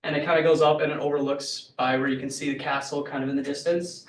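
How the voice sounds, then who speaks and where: A man's voice: on the high side, flat delivery, quick pace, slight background noise. A young man, a college senior, casually and upbeatly describing his photos, with many fillers. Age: young adult. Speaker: student speaker. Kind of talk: casual talk about photos. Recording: echoey room, distant mic.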